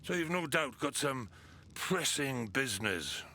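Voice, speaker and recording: An actor playing a stern, weary military commander in a fantasy video game, curt, authoritative and sardonic. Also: male voice, mid-range voice, big pitch swings, a little slow, bright, forceful tone, heavily acted. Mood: stern, businesslike.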